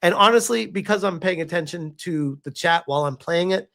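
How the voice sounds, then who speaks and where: A man's voice: sits high, fairly quick, gravelly, fairly bright tone. A man streaming casual commentary, reacting to what he reads and chatting with viewers in an exasperated, sarcastic way, prone to chuckling and swearing. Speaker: streamer reading and reacting to a game review with live chat.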